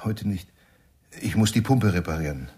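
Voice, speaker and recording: An actor playing a polite, matter-of-fact household handyman with a husky voice in a radio crime drama. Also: deep voice, lively intonation, normal speed, darkish tone. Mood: polite, dry.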